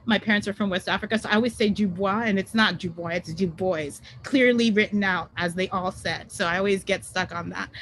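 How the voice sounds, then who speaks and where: A woman whose first language is French talks through a presentation on a video call, her pitch sitting in an ordinary middle range. She speaks conversationally, with frequent ums and restarts, and a faint hum of background noise runs underneath. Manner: conversational, many ums and self-corrections. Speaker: panel guest speaker. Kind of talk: online webinar presentation.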